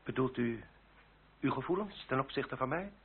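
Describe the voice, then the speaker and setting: An actor in an old radio play playing a proud, courtly French marquis and duellist who speaks formally, with elaborate politeness and cool menace. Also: male voice, medium pitch, animated, moderate pace, slight background noise, natural voice. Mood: earnest, courting. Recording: dull lo-fi old radio recording.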